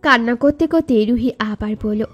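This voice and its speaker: Lady narrator doing a scripted love story, fairly high voice, light music behind. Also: normal speed, lo-fi sound. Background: background music.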